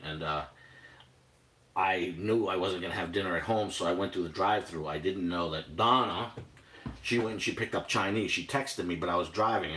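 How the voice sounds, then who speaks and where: Low-voiced older New England man. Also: a bit bright. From Boston area, New England. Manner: rambling, chatty, many fillers and restarts. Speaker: cooking livestream host. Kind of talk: casual live cooking chat.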